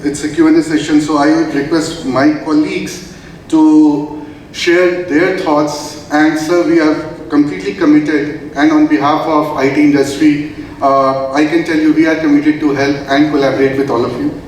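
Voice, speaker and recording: A man's voice: a little high, level tone, normal speed, a little mellow, a little hiss or hum. Man giving a formal speech in an echoey hall. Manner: formal, hesitant, with many fillers. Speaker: industry representative moderating a panel. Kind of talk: formal speech at a public event. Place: large event hall. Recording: echoey hall, heard from a distance.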